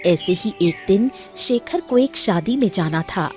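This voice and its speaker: A woman's voice: medium pitch, animated, a little slow, darkish tone, faint music behind. A woman reading scripted narration as the storyteller of a moral tale.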